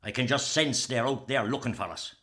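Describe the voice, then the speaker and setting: A male actor as an Irish guerrilla commander in a radio drama. The voice is hard and forceful with a husky edge. He gives his words with urgency and authority. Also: mid-range voice, a little fast. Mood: tense, resolute. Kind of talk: radio play acting.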